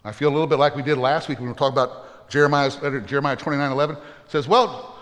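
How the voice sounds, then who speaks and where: A man's voice: medium pitch, steady tone, fast talker, fairly bright tone, in a large hall. A man preaching a sermon as pastor, earnest and plainspoken, with folksy humorous asides.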